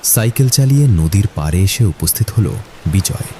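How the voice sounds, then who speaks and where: A man's voice: sits low, animated, normal speed, warm tone. A man narrating a scripted ghost story for a cartoon. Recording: noisy, with rain and thunder behind.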